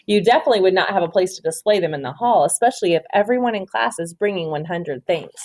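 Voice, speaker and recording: A woman reading a children's story aloud expressively, pausing to ask simple questions and encourage answers. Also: lowish voice, expressive, darkish tone.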